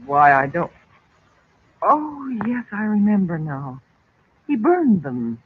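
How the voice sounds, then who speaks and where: A woman's voice: mid-range voice, sing-song, slow, slight background noise. An actress playing an anxious, chatty middle-aged mother in an old-time radio drama, with a fretful, genteel delivery. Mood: puzzled, chatty. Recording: tinny vintage radio recording.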